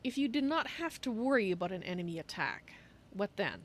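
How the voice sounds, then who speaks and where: A woman's voice: medium pitch, big pitch swings, brisk, natural voice. Woman voicing a courteous cat-folk mage, faint noise. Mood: earnest. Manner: speaks of herself in third person. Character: female Khajiit cat-folk archmage, courteous, third-person speech. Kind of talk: in-character game roleplay on a livestream. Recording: faint noise and game ambience.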